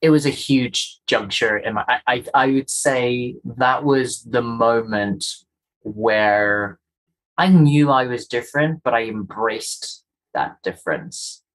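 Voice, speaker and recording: A man from Kenya with a softened Kenyan accent, a guest telling his story in an interview with earnest, emphatic repetitions. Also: medium pitch, slow pace, rough recording.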